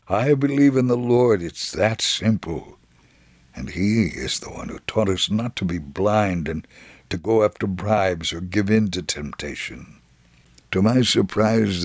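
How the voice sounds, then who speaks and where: An actor as a gravelly, deep-voiced devout old man. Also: dry recording, exaggerated voice. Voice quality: gravelly, husky. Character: elderly devout Israeli man recounting his witness to police. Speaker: reader of a radio story. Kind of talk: dramatic scripted reading of a first-person testimony.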